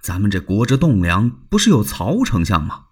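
Man doing a careful polite lord, dodging questions, husky voice. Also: lowish voice, wildly swooping pitch, normal speed, a little mellow, exaggerated voice. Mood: evasive. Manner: polite, guarded, placating. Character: cautious, courteous male lord, evasive and wary.

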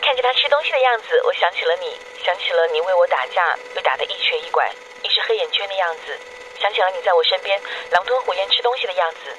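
A woman's voice: fairly low pitch, lively intonation, fast, a bit bright. A woman playing a devoted, wistful young girlfriend leaving affectionate, confiding voicemails in a radio drama. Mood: fond, reminiscing. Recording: dull noisy answering-machine message.

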